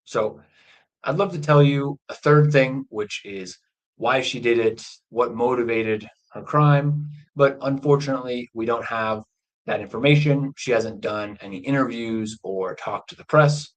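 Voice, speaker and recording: A man teaching English as a live lesson, telling a true crime story slowly and explaining key words along the way. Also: mid-range voice, poor audio. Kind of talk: slow storytelling lesson for learners. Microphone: livestream microphone.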